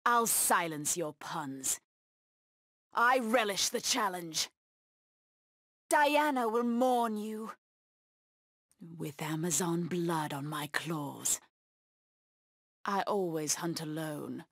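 A bloodthirsty cat lady villain from a fighting game, proud n taunting, really slow menacing lines, super dry sound. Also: lowish voice, lively intonation, fairly bright tone, no room sound, full character voice. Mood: low, contemptuous. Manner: menacing, taunting, deliberate delivery. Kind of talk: video game character battle taunts.

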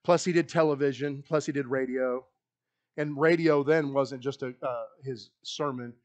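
A middle-aged man with a Kentucky accent preaching a lively, animated sermon in a small church hall on a handheld mic, often repeating himself as he paces the stage. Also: mid-range voice, moderate pace. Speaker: pastor. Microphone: handheld mic, filmed by a far wide camera.

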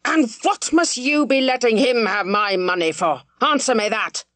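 A woman's voice: medium pitch, a little fast, dry recording, natural voice. A woman reading aloud, voicing an older country squire who is ponderous and blustering, scolding his son. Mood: furious. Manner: shouting, scolding.

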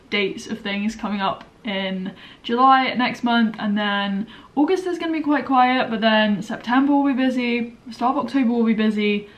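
A woman's voice: medium pitch, muffled recording. A young woman in her twenties with an English accent, chatting casually in a vlog and rambling with lots of fillers. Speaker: vlogger.

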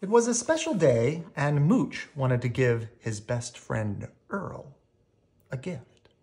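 Slow, very animated bedtime storybook reader. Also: mid-range voice. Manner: theatrical, with playful sound effects and pauses. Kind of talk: expressive bedtime story read-aloud.

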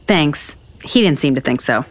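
A woman's voice: sits low, expressive, moderate pace. An actress playing a blunt, sarcastic, self-deprecating woman writer in a naturalistic romantic comedy audio drama. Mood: wry, candid.

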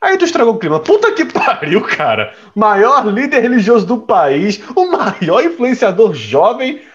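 Man playing excitable male friend, very animated high voice, indignant, slangy, muffled audio drama. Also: moderate pace, slight background noise. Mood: mock outrage.

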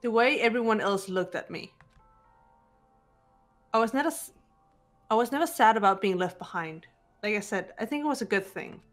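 A guarded teenage detective girl, played by a woman, speaks with measured seriousness in a video game. Her pitch sits at an ordinary level for a woman, and music plays behind her. She sounds composed and deliberate, with bitterness held in check. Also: animated, natural voice. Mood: restrained bitterness. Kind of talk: amateur video game voice acting. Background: background music.

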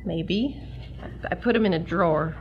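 A woman playing a smart, flustered young museum scholar in a comic 1940s-style audio drama, articulate, excitable and haughty. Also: on the low side, a little slow, highs cut off, crowd noise, natural voice. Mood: evasive.

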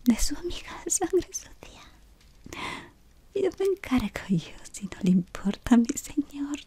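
A woman in her thirties whispering an ASMR roleplay as a devoted servant of a dark lord, softly and deferentially, close to the mic. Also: high-pitched, very animated, measured pace. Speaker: ASMR creator. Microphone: close to camera.

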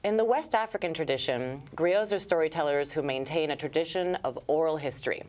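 A woman in her thirties with a low voice co-hosts a studio show, reading her intros in a measured, clear way. She speaks on a lapel mic in the studio. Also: darkish tone. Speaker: TV co-host. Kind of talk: scripted segment intro and interviewing. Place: TV studio, indoors.

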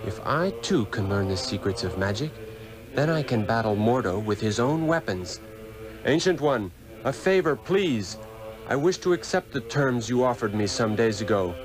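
A man's voice: medium pitch, animated, moderate pace, lightly acted. A man acting an earnest, determined pupil of sorcery in a 1960s radio drama, formal and theatrical. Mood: pleading, earnest. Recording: old radio broadcast with music underneath.

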